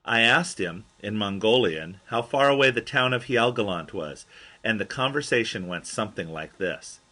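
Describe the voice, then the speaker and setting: A man with a husky voice reading his own memoir aloud as an audiobook, telling it as an expressive storyteller. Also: on the low side, moderate pace. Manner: expressive storyteller, acts out quoted dialogue.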